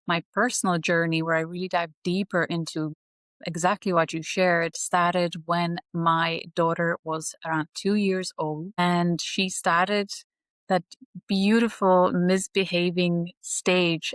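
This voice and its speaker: A woman, speaking as a guest in an earnest, measured way. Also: lowish voice, steady tone, no room sound. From Slovakia. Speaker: astrology teacher, guest. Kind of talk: reflective interview talk.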